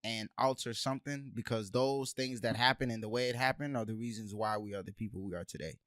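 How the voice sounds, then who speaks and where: Animated young man from Haiti. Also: medium pitch, quick pace, no echo, studio quality. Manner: animated storyteller, says "bruh" and "man" a lot. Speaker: podcast co-host. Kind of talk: casual chat.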